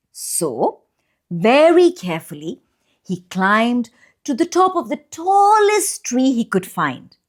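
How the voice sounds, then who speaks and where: Female children's storyteller, fairly high voice, highly varied intonation, expressive and deliberate read-aloud delivery. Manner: expressive, deliberate phrasing with drawn-out pauses for young listeners. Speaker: children's storyteller and channel host.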